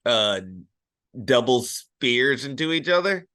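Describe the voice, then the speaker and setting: A man's voice: mid-range voice, expressive, takes it slowly, sharp tone, clean tone, no room sound, studio quality. A young American man chatting casually as a podcast co-host, in a hesitant, agreeable way. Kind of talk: casual chat.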